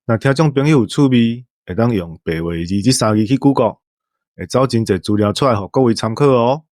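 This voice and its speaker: A man's voice: medium pitch, fairly quick, a little mellow, no room sound, studio quality. A man with a Taiwanese accent hosting a podcast, explaining things to listeners. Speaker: podcast host.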